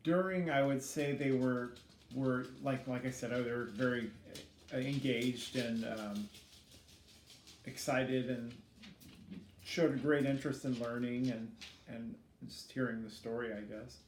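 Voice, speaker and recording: A middle-aged man giving casual, reflective interview answers in a hesitant, halting way, as a student teacher being interviewed. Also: mid-range voice, steady tone, darkish tone. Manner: hesitant, halting, self-critical. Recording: echoey room with faint background noise.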